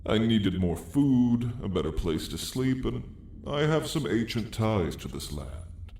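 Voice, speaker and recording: A man voicing a haughty, sardonic dragon in a slow, condescending, theatrical drawl for an ASMR roleplay story. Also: on the low side, very animated, a little mellow, roomy sound, slight background noise. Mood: haughty, warning. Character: haughty, sardonic dragon, apex predator of a mountain.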